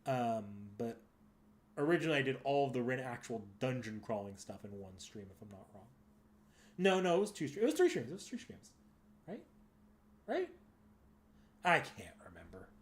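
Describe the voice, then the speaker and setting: A man's voice: mid-range voice, big pitch swings, normal speed, pauses often. A man streaming games, chatting casually and unscripted, hesitant and often correcting himself as he thinks aloud. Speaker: live game streamer.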